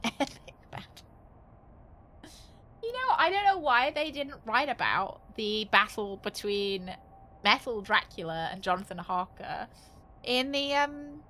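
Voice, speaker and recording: Girl doing live game commentary, high bright voice, wry n chatty, bit of background noise. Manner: wry, joking, chatty, thinks aloud. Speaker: game streamer, host. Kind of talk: casual live gaming commentary.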